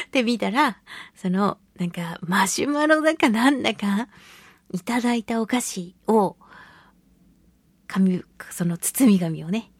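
Female radio host for solo chat segments: mid-pitched, husky-soft, recorded dry, casual and self-mocking storyteller. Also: expressive, takes it slowly, pauses often, no room sound. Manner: self-deprecating and giggly; acts out little quoted remarks while storytelling. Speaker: radio talk show host; voice actress.

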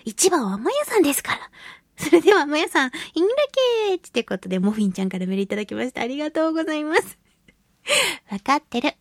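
A woman's voice: high-pitched, very animated, fast, no echo, studio quality. A woman hosting a casual solo radio show, chatting expressively and giggly as she reads and responds to listener mail. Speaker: radio show host, voice actress.

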